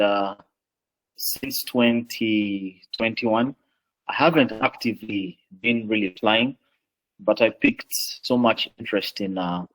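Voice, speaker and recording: A man's voice: on the low side, fairly bright tone, rough recording. A man in his late twenties with a Ugandan accent, introducing himself as a panelist. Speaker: panelist, aviation business development manager.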